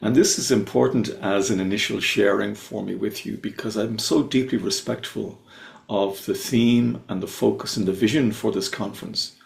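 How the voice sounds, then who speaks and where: A low, gravelly Irish man speaks with measured reverence and lingers on the words that matter to him. He comes over a video call from an echoey room. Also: fairly even intonation. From Ireland. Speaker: invited speaker. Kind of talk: slow, reverent spiritual talk. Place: his home, on a video call.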